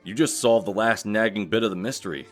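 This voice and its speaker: A man playing a gruff, dry detective cop in a comic dub, speaking in a measured, wry way. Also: fairly low pitch, faint music behind, close to an everyday voice. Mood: dry, focused. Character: gruff male wolf detective cop, dry, theatrical about revealing culprits.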